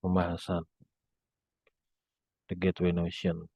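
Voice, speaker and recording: A young man reading a scripted academic presentation as a student, in a monotone with occasional stumbles. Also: low voice, slow, vocal fry, dry recording. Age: young adult. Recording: muffled phone-like narrow band sound.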